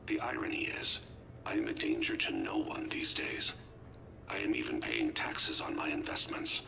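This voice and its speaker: An actor playing an ailing, reflective alien ex-assassin in a video game, speaking formally and measuredly in a gravelly, breathy voice as he leaves a personal message. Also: rumbling low, sing-song, normal speed, bright, forceful tone, exaggerated voice, low-quality recording. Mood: wry, resigned. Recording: clear background noise and ambience.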